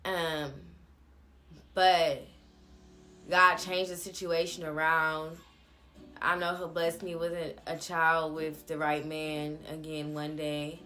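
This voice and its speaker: A woman's voice: deep voice, fairly bright tone. A young woman telling a personal story to viewers in a casual, candid, blunt way, with slangy phrasing.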